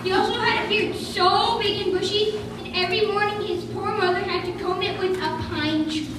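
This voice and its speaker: A very high-pitched child, about eight or nine, recites a tale as a pupil. It sounds distant in a very echoey room. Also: fairly even intonation, moderate pace, very noisy. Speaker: third-grade pupil reciting. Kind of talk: scripted storytelling in a class performance.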